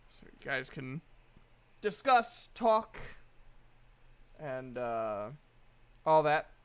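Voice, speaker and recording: A woman's voice: very deep voice, very animated, very slow, clear, resonant tone. A woman running a tabletop game, narrating casually with slangy, joking asides. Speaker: game master.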